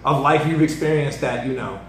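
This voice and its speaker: A man in his thirties talking casually as a host, animated and profane, often laughing. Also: medium pitch, a little fast. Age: 30s. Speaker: podcast host and artist manager. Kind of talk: casual chat. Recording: echoey room with faint noise.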